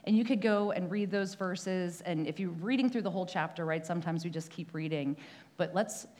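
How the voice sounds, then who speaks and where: A woman's voice: fairly low pitch, brisk, a little mellow. A young woman preaching a church sermon in a conversational way, often asking "right?", with a prayer and a scripture reading. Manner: conversational, frequent tag "right?", some restarts.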